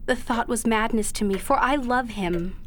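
A woman acts as a dutiful young daughter in a period radio play, burdened by a long deceit. She speaks in formal, old-fashioned phrases, earnest and halting with emotion. A faint noise sits behind her. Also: on the high side, a bit bright, natural voice. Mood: anguished. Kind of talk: period radio drama acting.

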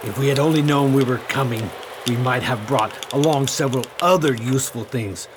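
A man's voice: medium pitch, moderate pace, heavy background noise, close to an everyday voice. A man playing a genteel, brave old stage magician in a radio play, with a formal, avuncular delivery. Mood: resolute.

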